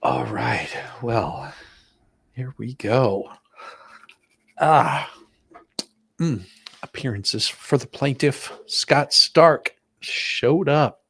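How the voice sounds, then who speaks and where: A man's voice: mid-range voice, animated, very slow, vocal fry, pauses often, fairly bright tone, a little hiss or hum. A man hosting a stream in casual, jovial, chatty talk. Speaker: stream host. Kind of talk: casual chat.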